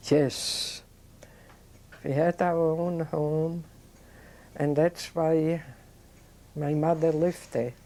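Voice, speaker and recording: An older woman, recalling her childhood in an oral history interview, talking in a halting, reflective way with many restarts. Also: low-pitched, level tone, dark tone. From Poland. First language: Yiddish. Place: indoors, seated on a sofa.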